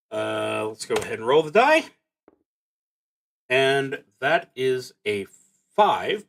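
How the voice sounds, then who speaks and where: Slow-paced man thinking aloud. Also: mid-range voice, vocal fry, fairly bright tone, a little hiss or hum. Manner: halting, musing, pauses mid-sentence. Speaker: solo tabletop roleplaying streamer. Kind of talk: thinking aloud while playing, reading out game prompts.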